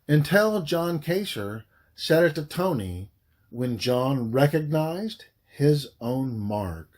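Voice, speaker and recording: A man reading a historical story aloud as its author, in a deliberate, dramatic storytelling manner with pauses. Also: on the high side. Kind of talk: audiobook narration of historical fiction.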